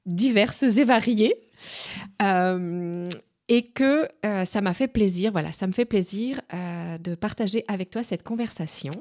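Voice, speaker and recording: A woman's voice: medium pitch, measured pace, a little mellow. Chatty woman hosting a conversation. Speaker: interview host. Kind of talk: casual radio conversation.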